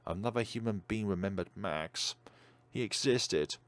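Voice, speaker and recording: A man's voice: on the low side, a little slow, dry recording. A man reading a horror visual novel aloud in a dramatic narrating style, as a let's player.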